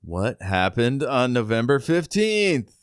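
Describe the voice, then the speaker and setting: A man's voice: medium pitch, big pitch swings, slow, smooth, no echo, clean studio sound. A man co-hosting a podcast, casually discussing a book and recapping it. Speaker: podcast co-host.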